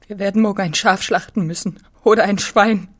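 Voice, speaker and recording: An actress playing a bitter, wounded, possessive farm wife in a literary radio drama, with intense delivery. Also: female voice, mid-range voice, steady tone, fairly quick, lightly acted. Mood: agitated, pleading.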